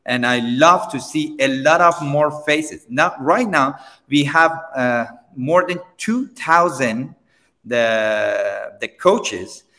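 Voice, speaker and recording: Halting non-native man in a reverberant room. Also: medium pitch. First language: Persian. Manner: halting, many restarts, non-native grammar, animated when pressed. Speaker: seminar trainer and company founder. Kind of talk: interview answers.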